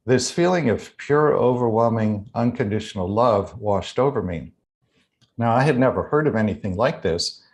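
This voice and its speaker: An American man giving an explanatory spiritual talk as a guest author, speaking in a measured, deliberate and articulate way. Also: medium pitch. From United States.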